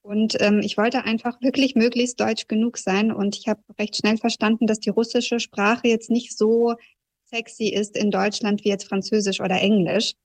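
A woman's voice: medium pitch, flat delivery, normal speed. Reflective woman recounting her story. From Kazakhstan, raised in southwest Germany. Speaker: journalist. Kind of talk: personal account in an interview.

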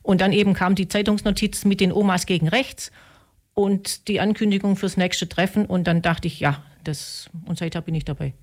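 A woman's voice: medium pitch, steady tone, moderate pace. A woman, a group member who speaks earnestly and quotes her own thoughts. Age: 60s. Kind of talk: casual interview talk.

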